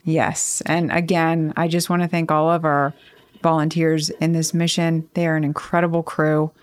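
A woman's voice: low voice, flat delivery, moderate pace, smooth. A woman speaking as a scientist guest, giving explanatory answers in an interview. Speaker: principal investigator, scientist guest.

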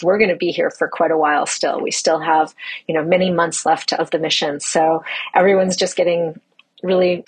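A woman with a slightly low, noticeably husky voice gives an informal spoken update as a crew commander. She talks in an upbeat, emphatic way, stressing how things are. The delivery is unscripted, like a personal audio log. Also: poor audio. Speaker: mission commander of a Mars analog crew.

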